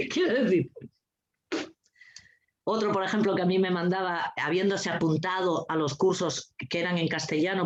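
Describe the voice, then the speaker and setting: A woman giving a lively, anecdotal talk as a guest speaker, blunt and sarcastic, swearing freely and laughing often. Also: lowish voice. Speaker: guest speaker, teacher trainer. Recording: dull lo-fi recording.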